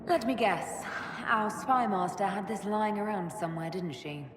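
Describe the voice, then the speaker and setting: An actress playing an earnest, direct and composed elf leader in a video game, in an echoey hall. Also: female voice, mid-range voice, normal speed, close to an everyday voice. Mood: direct, knowing. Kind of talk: dramatic video game acting. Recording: echoey hall with clear background noise.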